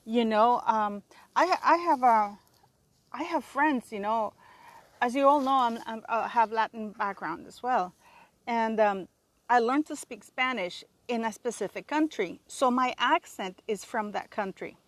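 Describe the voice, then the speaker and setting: A woman's voice: a little high, measured pace, dry recording. A woman with a Canadian accent giving an indignant, rambling solo commentary as host of her own channel. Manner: emphatic, indignant, rambling with restarts.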